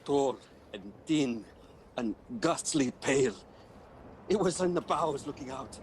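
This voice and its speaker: A man plays a superstitious sailor in a radio drama, haunted and close to madness. His speech is slow, halting and full of dread, with faint noise behind it. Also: medium pitch, lively intonation, lightly acted. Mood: haunted, obsessive. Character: haunted, superstitious male ship's mate sliding into madness. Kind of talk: radio play acting.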